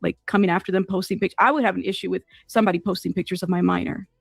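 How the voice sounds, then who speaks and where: A woman's voice: on the low side, steady tone, very fast, gravelly, slightly rough, no room sound, studio quality. A woman conducting a casual interview, earnest and opinionated in the way she talks.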